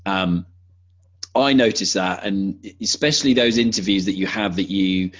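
A man's voice: sits low, normal speed, husky, muffled recording. A man talking casually as a podcast guest, enthusiastic and conversational, restarting his sentences as he goes.

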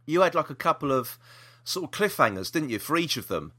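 A man's voice: a little high, very animated, fairly quick, pushed and bright. A man chatting casually as a podcast co-host, enthusiastic and repeating himself for emphasis. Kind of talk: casual review chat about audio dramas.

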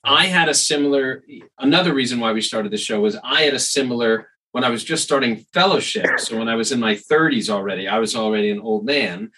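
A male podcast host with a bright, forceful edge to his voice and a pitch in the middle range. He talks in a relaxed, chatty way and tends to drift into personal stories. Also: fairly quick, breathy edge, low-quality recording. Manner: chatty, storytelling asides. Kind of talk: casual interview chat.

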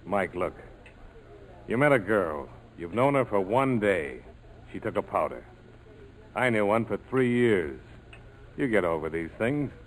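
An actor playing a tough, cool American major with a wry, clipped, persuasive way of talking in 1940s radio style. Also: male voice, fairly low pitch, animated, measured pace, long pauses. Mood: firm. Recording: noisy old 1940s radio broadcast.

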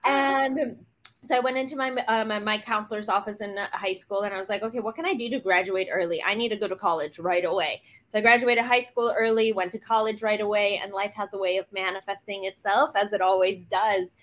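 A woman's voice: mid-range voice, steady tone, brisk, a bit bright. A woman from Poland, a guest on a podcast, telling her story in an upbeat, reflective way. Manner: upbeat and reflective, with light laughs.

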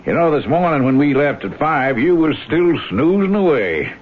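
A folksy outdoorsman in a radio play. His voice is husky and swings widely in pitch, heard through a tinny, narrow broadcast. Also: sing-song, normal speed. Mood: breezy, jovial. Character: breezy, folksy old-buddy outdoorsman with a needling streak. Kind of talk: radio drama acting.

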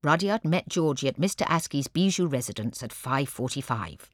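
An actress playing a wry storyteller, narrating a scripted village comedy. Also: female voice, a bass voice, expressive, moderate pace, dry recording, clean studio sound.

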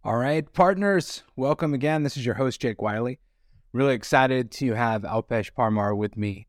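A man's voice: mid-range voice, normal speed, no echo. A man hosting an interview podcast, reading an intro and welcoming his guest in an upbeat, enthusiastic way. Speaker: podcast host. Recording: dry with faint music bed.

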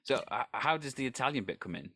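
A man's voice: mid-range voice, lively intonation, quick pace, bright, forceful tone, dry recording. A man hosting a casual interview in an encouraging, jokey way. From Wales. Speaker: interview host.

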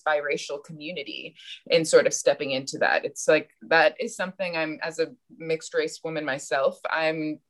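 A woman in her thirties speaks as a podcast guest, her voice sitting a little low. She talks in a reflective, searching way and laughs lightly at herself. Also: fairly bright tone. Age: 30s. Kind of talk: casual interview chat.

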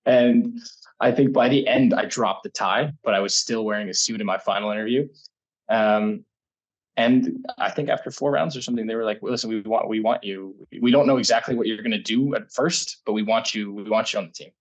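American man in his thirties, lowish husky voice, casual and rambling interview storytelling. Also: fairly quick, poor audio. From United States. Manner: rambling, self-correcting, laughs often. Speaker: guest, startup incubator energy lead.

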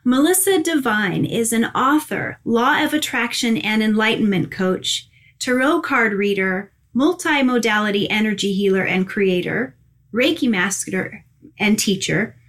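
A woman's voice: mid-range voice, lively intonation, unhurried, fairly bright tone. Gushing woman hosting a spiritual podcast. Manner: gushing, laughs often. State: tired. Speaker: podcast host and angel communicator. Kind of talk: casual spiritual podcast chat.

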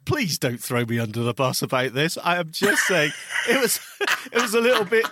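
Male co-host, high lively voice, slightly stammering, chatty and giggly. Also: moderate pace, heavy background noise. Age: older. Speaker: show co-host. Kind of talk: casual chat.

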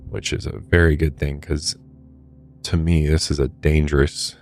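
An American man hosting a true crime podcast discussion, talking in a measured, conversational, hedging way. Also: extremely low pitch, flat delivery, a little mellow, soft background music. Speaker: podcast host.

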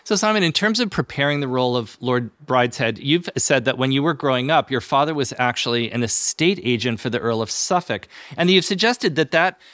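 A middle-aged or older man hosting an interview, putting prepared questions in a measured, articulate, conversational way. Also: mid-range voice, animated, fairly bright tone, no echo. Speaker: interviewer, host.

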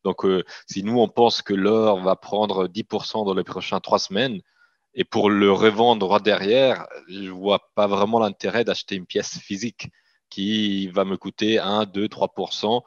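A man's voice: fairly low pitch, flat delivery, measured pace, no echo, dull audio. A man in his thirties with an Italian accent, raised in the Italian-speaking part of Switzerland, explaining at length in a casual interview and often restarting his sentences. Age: 30s. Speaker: guest, gold company founder.

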